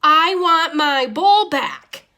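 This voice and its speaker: Casting: female reader playing a grumpy little storybook goldfish, slow and dramatic delivery, slightly high pitch. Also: full character voice. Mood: indignant. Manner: deliberate, dramatic, expressive. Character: small grumpy, easily exasperated pet goldfish narrating a diary. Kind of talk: expressive children's picture-book read-aloud.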